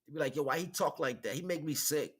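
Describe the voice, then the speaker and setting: An American man hosting a livestream, giving an informal spiritual monologue in an animated, colloquial, preaching way with repeated phrases. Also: fairly high pitch, fast, breathy edge. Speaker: livestream host.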